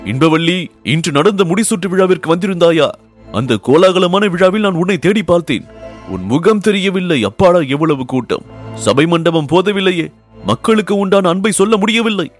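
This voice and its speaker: A man's voice: sits high, lively intonation, moderate pace, faint music behind, pitched above the performer's own voice. A man voicing a newly crowned emperor, eager, tender and commanding, in stately declamation for a historical audiobook. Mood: excited, tender.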